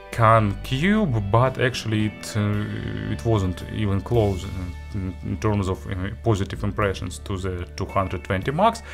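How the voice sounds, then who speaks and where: A man who speaks English as a second language gives an unscripted product review as an audio gear reviewer, with halting, hesitant phrasing. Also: fairly low pitch. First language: not English.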